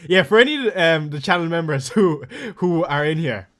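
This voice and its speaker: A man with a thick Irish accent hosting a casual fantasy football live stream, reading viewer messages aloud and answering each one quickly. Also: a little high, lively intonation, fairly bright tone.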